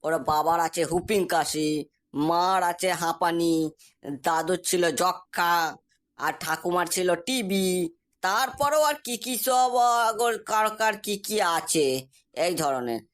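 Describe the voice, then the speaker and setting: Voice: medium pitch, lively intonation, a little slow, a bit bright. An actor voicing a boastful, cocky young man in a comic cartoon, talking in a breezy, insistent, colloquial dialect. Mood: bragging. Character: boastful, cocky young man exaggerating to impress his friend's prospective father-in-law.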